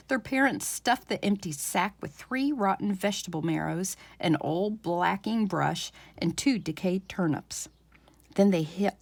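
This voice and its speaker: A woman reading a children's storybook aloud as a library storyteller, with expressive, dramatic emphasis. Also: mid-range voice, gravelly, dry recording.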